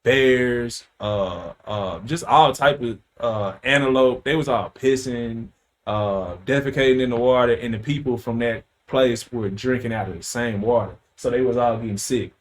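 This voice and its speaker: A man is chatting on a casual podcast. He tells stories with loose slang, swearing and lots of "uh" and "like". His voice sits at an ordinary middle pitch. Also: normal speed. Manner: animated storytelling, loose slang and profanity, frequent fillers. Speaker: podcast host.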